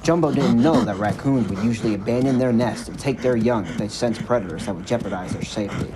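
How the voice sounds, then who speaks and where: A man's voice: lowish voice, fast, background noise. A man narrating a scripted story for an audio drama. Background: music.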